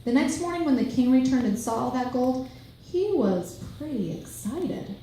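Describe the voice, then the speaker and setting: A woman's voice: sits high, lively intonation, normal speed, warm tone. Echoey woman narrating a children's story. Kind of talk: expressive children's storytelling read aloud. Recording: very echoey room, faint hiss.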